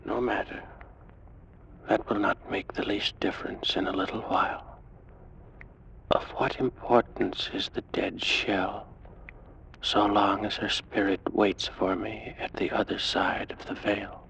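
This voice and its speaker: An actor playing an ancient Egyptian priest, lovelorn and menacing, speaking slowly and solemnly in an old-time radio drama. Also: male voice, lowish voice, little pitch movement, vocal fry, fairly bright tone, a little hiss or hum. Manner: slow, solemn, murmuring delivery. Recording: muffled old radio recording with music.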